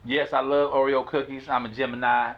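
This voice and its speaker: A man's voice: mid-range voice, level tone, brisk, noisy background. Joking man leading a workshop circle. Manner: joking, then earnest and grateful. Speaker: workshop facilitator. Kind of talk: casual group chat. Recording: slightly dull sound.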